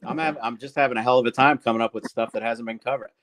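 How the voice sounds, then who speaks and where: A man's voice: mid-range voice, steady tone, racing, background noise. A man co-hosting a casual livestream, talking candidly and rambling a little while he greets viewers by name. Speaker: livestream co-host.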